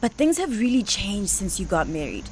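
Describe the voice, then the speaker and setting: An actress playing a confident young bride-to-be in a radio drama, speaking articulately with a Botswana accent. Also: female voice, medium pitch, big pitch swings, moderate pace, darkish tone, slight background noise, lightly acted. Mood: earnest, persuasive. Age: young adult. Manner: articulate, explanatory, code-switching. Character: confident young bride-to-be businesswoman, reasoning earnestly.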